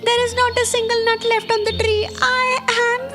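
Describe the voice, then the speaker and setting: A woman's voice: very high-pitched, little pitch movement, normal speed, voice pushed up. A woman voicing a selfish, greedy female squirrel in a cartoon story, with a whiny, pleading manner. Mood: distraught. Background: music.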